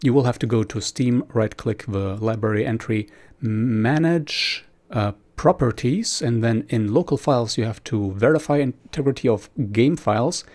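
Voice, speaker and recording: A man's voice: mid-range voice, moderate pace, darkish tone. A man presenting a screen-recorded tutorial, explaining each step informally.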